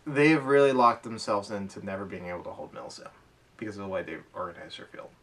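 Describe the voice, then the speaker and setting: A man co-hosting a hobby podcast, chatting casually and haltingly about his gear with enthusiasm. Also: on the low side, lively intonation, fairly bright tone. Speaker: podcast co-host. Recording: distant from the microphone.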